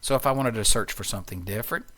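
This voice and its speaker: Male tutorial presenter, fairly low pitch, informal and unscripted, explains software step by step. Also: very fast. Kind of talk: informal screencast walkthrough.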